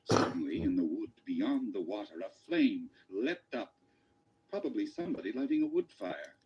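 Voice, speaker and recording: Theatrical fantasy radio-play narrator, lowish voice, very animated delivery, faint ambience. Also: male voice, normal speed, breathy edge, lo-fi sound. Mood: evocative, dramatic. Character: storybook narrator of a fantasy adventure.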